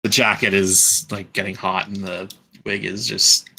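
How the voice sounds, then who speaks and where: Young male podcast host, low-ish voice with a bright edge, chatty and rambling, lots of "um" and false starts. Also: level tone, unhurried, creaky, husky, low-quality recording. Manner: rambling, lots of fillers and restarts. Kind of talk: casual chat. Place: at home.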